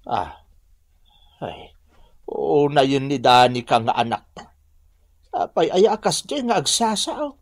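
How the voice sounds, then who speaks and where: A man's voice: medium pitch, very animated, slow, long pauses, no echo, clean studio sound, heavily acted. A man with a Filipino accent playing a patient, concerned middle-aged husband and father in a radio drama. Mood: concerned, consoling. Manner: concerned, questioning. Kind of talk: Ilocano radio drama acting.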